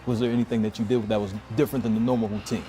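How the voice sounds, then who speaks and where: A man's voice: lowish voice, level tone, rapid-fire, dark tone. A man asking questions conversationally as a sports interviewer.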